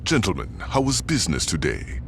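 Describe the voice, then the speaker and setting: An actor playing a calm, menacing male gang general in a video game, formal and measured. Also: low-pitched, slight background noise. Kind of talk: video game cutscene acting.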